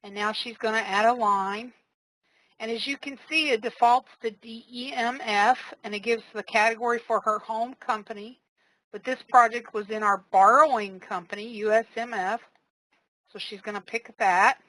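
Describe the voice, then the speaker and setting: Casual woman walking through a software demo. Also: fairly high pitch, steady tone, normal speed, poor audio. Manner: casual, step-by-step explaining with fillers. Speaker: software trainer. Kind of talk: live software demo walkthrough.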